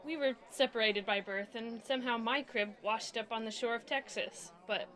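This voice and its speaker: A woman's voice: fairly high pitch, normal speed, a bit bright, natural voice, rough recording. A woman with an American accent acting a friendly, breezy young twin sister in a comedy audio drama.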